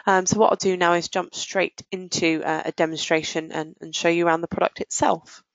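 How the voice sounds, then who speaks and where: A woman's voice: on the low side, fairly even intonation, fairly quick, no echo, dull audio. A woman giving a live product demonstration, explaining each step in an informal business tone. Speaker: software demonstrator. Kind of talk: live product demo walkthrough.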